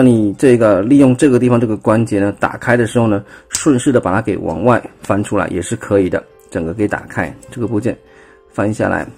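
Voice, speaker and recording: A man with a Taiwanese Mandarin accent talks through a toy's transformation, pointing out each part as he goes and leaning on filler words. His voice is mellow and husky. He is recorded on a phone at an indoor table, with faint music underneath. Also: lowish voice. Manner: explanatory, filler-heavy, pointing out parts step by step. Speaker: toy reviewer. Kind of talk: casual hands-on toy demonstration.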